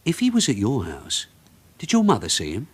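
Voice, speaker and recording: A bossy british detective sergeant in a radio play, husky and really animated, brisk and sardonic. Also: male voice, mid-range voice. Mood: pressing, probing. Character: blunt, shrewd male police detective sergeant running interrogations. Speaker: actor in a radio detective drama. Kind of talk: British radio drama acting.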